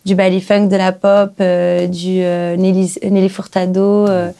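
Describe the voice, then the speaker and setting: A young woman from Brazil, a native Portuguese speaker, chatting as a guest singer in a small music studio, relaxed, with many pauses. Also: lowish voice, vocal fry, smooth. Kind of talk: casual interview chat. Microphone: studio mic on stand.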